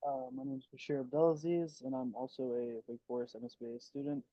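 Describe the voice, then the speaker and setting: A young man from North Carolina gives a quick, matter-of-fact introduction. He talks fast, and the recording sounds dull and lo-fi. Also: mid-range voice, mellow. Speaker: graduate student guest. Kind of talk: casual conversation.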